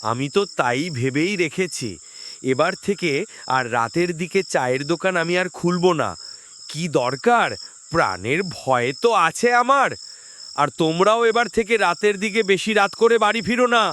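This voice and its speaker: A man's voice: high voice, expressive, moderate pace, fairly bright tone. A man playing a fearful, fretful village tea-stall keeper, an uncle figure in a horror cartoon. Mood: fearful, insistent. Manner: fretful, insistent. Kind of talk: Bengali horror cartoon dialogue. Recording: faint hiss and cricket noise.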